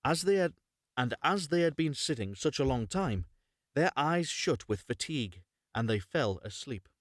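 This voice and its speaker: A man's voice: medium pitch, expressive, dry recording. Man reading a fairy tale, dry sound. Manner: measured storytelling with stumbles and re-read phrases. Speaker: storyteller, voice-over reader. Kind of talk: live unrehearsed storybook narration.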